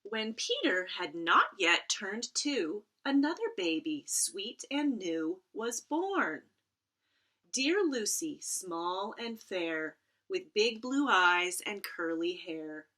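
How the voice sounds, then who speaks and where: A middle-aged woman speaks at an unhurried pace, as a librarian does at storytime. Her pitch sits in the middle range for a woman. She addresses children directly and leans on key words with expressive phrasing. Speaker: school librarian reading aloud to children. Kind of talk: casual chat and read-aloud storytelling for children. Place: at home.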